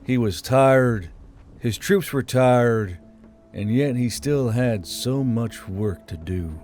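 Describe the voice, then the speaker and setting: Audiobook narrator, male, mellow mid-range voice for scripted fantasy fiction, light music bed. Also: mid-range voice, takes it slowly. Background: soft background music.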